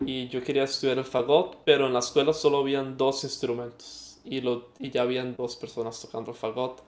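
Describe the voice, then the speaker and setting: A man's voice: mid-range voice, flat delivery, a little fast, roomy sound. A young Costa Rican man with a Costa Rican accent, telling his story as an interview guest, reflective and hesitant with fillers. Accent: Costa Rican Spanish. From Costa Rica. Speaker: guest musician.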